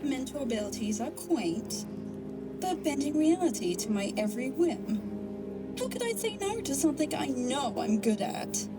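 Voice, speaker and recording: A theatrical rabbit villainess in an audio drama, a mocking trickster who revels in her own show. The voice sits high and leaps about with hammy, gloating relish. Music plays underneath. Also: very animated, normal speed. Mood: gloating, menacing. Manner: showboating, mocking, hammy stage-host delivery.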